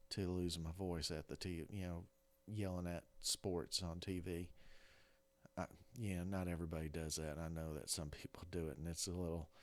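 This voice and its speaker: A man with a hoarse, rough voice from a weekend of coughing, talking casually and haltingly through a strategy game. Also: low voice. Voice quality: rough-sounding voice. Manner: rambling, hesitant, many restarts. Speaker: strategy game video creator. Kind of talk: casual gameplay commentary.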